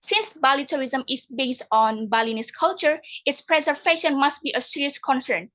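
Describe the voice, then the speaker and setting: A young woman delivering a prepared competition speech, measured and formal. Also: high voice, a bit bright. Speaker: speech contestant.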